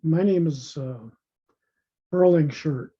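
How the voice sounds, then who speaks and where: A man, worn out from illness, speaks in a slow, halting way with a raspy voice and many pauses and restarts. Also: a little high, mellow. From United States. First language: English. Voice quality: raspy, gravelly. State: worn out from illness, low energy. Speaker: language learner. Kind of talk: self-recorded video intro. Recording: dull lo-fi sound.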